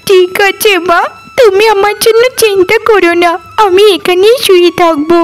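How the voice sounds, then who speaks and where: Cartoon role: sick young village daughter performed by a woman, tiny very high voice, complaining and suffering. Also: steady tone, normal speed. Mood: suffering, plaintive. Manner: whining, plaintive. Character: sick, plaintive village girl begging her mother. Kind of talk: Bengali cartoon dialogue.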